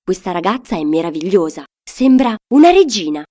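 A woman's voice: fairly low pitch, big pitch swings, brisk, warm tone. A woman voicing a curious, lovestruck young prince in a children's storybook, in an earnest manner.

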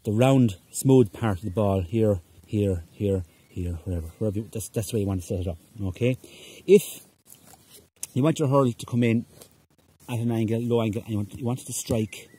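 A middle-aged man from Ireland who coaches hurling and gives a casual demonstration on a large rural lawn, talking in a relaxed way with frequent "okay?" checks and fillers. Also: lowish voice, moderate pace, breathy edge. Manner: relaxed, repeats "okay?" often, hesitant fillers. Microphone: phone camera at ground level.

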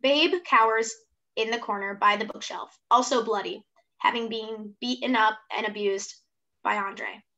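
A woman's voice: on the high side, fairly even intonation, unhurried, pushed and bright, muffled recording. A woman in her twenties reading scripted stage directions for a play over a video call. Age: 20s. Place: room at home, indoors.

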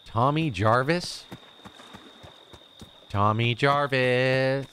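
A man voicing a cocky, gruff male hero in a game, talking in a slow mock-tough drawl. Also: medium pitch, fairly bright tone, slight background noise.

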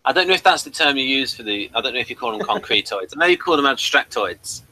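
Very bright-voiced man chiming in. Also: mid-range voice, animated, fast, a little hiss or hum, rough recording. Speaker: podcast co-host. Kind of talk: casual chat.

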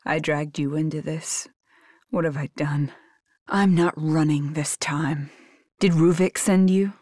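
A woman's voice: low voice, fairly even intonation, a little slow, darkish tone, no room sound, clean studio sound. An actress playing a tough, determined young woman agent in a survival horror video game, speaking in terse, guarded bursts. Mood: subdued, earnest.

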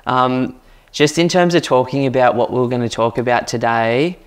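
A man's voice: mid-range voice, normal speed. An Australian man hosting a property podcast, talking in an enthusiastic, chatty way with plenty of fillers and a light, youthful voice. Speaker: podcast host. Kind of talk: casual podcast chat.

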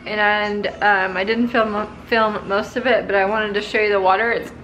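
A woman in her thirties with a creaky voice chats casually as a home cleaning vlogger. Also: mid-range voice, little pitch movement, normal speed, a bit bright, a little hiss or hum, rough recording. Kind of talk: casual vlog chat. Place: indoors at home.

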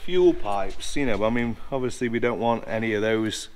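A man's voice: on the low side, moderate pace, a little mellow, a little hiss or hum. A man in his forties, an aircraft maintenance engineer, chatting as he works through a job in a hangar and often restarting his sentences. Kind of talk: casual hands-on workshop walkthrough. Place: large indoor aircraft hangar.

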